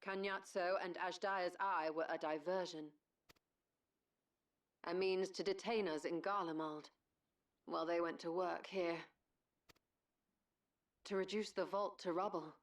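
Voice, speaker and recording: An actress playing a composed, serious ally in a video game, speaking in a measured, formal way. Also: female voice, fairly low pitch, distant mic, natural voice. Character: grave, composed female ally reporting bad news. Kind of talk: video game dramatic acting.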